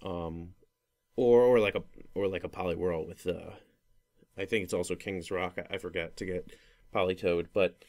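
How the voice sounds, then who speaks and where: Rambling young man, slightly low voice. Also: fairly even intonation, moderate pace, a little mellow. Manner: rambling, many fillers and self-corrections. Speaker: podcast host. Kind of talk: casual solo talk about a video game.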